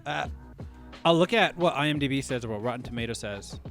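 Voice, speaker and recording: A man co-hosting a casual podcast chat, thinking out loud and hedging his theories. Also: medium pitch, expressive, brisk, creaky, faint music behind. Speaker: podcast co-host.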